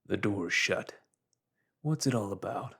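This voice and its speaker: A man voicing a calm, resolute bearded man who speaks steadily and bluntly. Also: lowish voice, measured pace, creaky, slightly rough, heavily acted.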